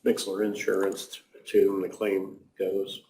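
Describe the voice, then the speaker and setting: Lowish voiced guy reading out claims and amounts at a county meeting, sitting back from the mic. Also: completely flat delivery, a little slow, a little mellow, slight background noise. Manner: reads out claims and amounts plainly. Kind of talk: public meeting business. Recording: mic at a distance.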